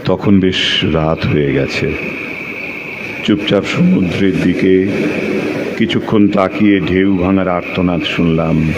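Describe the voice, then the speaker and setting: A man playing a lovestruck, brooding narrator of a secret romance in an audio drama, with poetic phrasing and pauses. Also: fairly low pitch, noisy background, close to an everyday voice.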